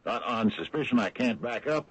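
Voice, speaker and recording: A man's voice: mid-range voice, animated, normal speed, breathy edge. A man playing a skeptical, loyal small-town American sheriff in a radio drama, folksy and a little hesitant. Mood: stubborn, defensive. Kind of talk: 1980s radio drama acting. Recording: dull, noisy old radio broadcast.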